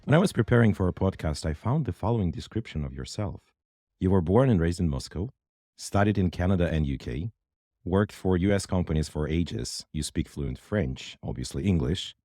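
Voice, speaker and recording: A man's voice: low-pitched, expressive, moderate pace, dark tone, dry recording, clean studio sound. A man hosting an interview podcast, giving prepared introductions and questions to a guest.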